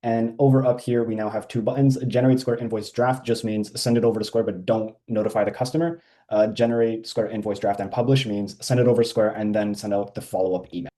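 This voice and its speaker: A man walking through a software feature in a casual screen-share demo, with frequent ums and uhs. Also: on the low side, fairly even intonation, fast, hardly any pauses.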